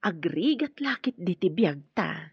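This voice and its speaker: An actress playing a tender, hardworking middle-aged farm mother in a radio drama, with a husky voice. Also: on the low side, big pitch swings, a little slow, no echo, lo-fi sound, full character voice. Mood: tender, earnest.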